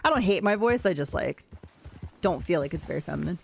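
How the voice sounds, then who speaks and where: A woman with a deep voice, chatting casually and rambling while she plays a game on a livestream and answers her chat. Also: animated, fast, gravelly, a little hiss or hum. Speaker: streamer. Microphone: desk mic, no camera.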